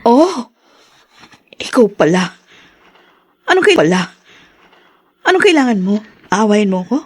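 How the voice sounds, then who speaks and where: An actress playing a scheming pregnant mistress who talks sharply and scornfully, in a radio drama. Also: female voice, medium pitch, big pitch swings, measured pace.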